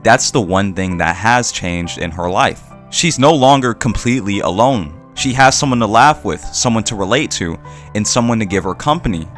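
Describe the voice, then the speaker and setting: Low-voiced man narrating a reflective video essay. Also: lively intonation, moderate pace, fairly bright tone. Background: background music.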